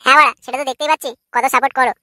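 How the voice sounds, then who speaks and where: Woman acting a shrill, cheeky cartoon boy. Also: fairly even intonation, very fast, pushed and bright, dry recording, clean studio sound. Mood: angry squabbling. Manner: brash, argumentative, swearing. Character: cheeky foul-mouthed squabbling village boy. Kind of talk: comic cartoon dialogue.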